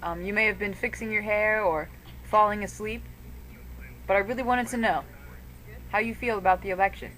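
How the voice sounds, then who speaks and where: A woman narrates a homemade street-interview film, reading her lines in a plain, mildly wry way. Her voice sits at a middling pitch. A faint hiss runs underneath. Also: fairly even intonation, moderate pace, long pauses, fairly bright tone, rough recording. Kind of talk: scripted narration. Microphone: webcam microphone.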